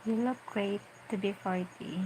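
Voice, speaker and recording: A woman in her forties with a Filipino accent, chatting casually on a livestream as she reads viewer comments aloud and answers them in a playful, unhurried way. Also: medium pitch, steady tone, a little mellow, slight background noise, poor audio. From Philippines. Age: 40s. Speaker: vlogger on a late-night livestream.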